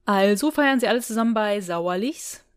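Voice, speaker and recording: A woman's voice: medium pitch, expressive, moderate pace, clean tone. A woman co-hosting a podcast, casually discussing an audio drama in long, looping explanations. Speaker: podcast co-host.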